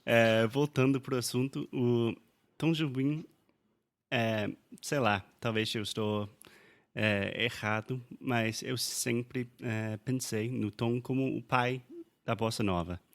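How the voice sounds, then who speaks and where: A man whose first language is English, hosting a podcast in careful learner's Portuguese, relaxed and casual. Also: mid-range voice, slow pace. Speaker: podcast host and English teacher. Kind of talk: casual conversational interview.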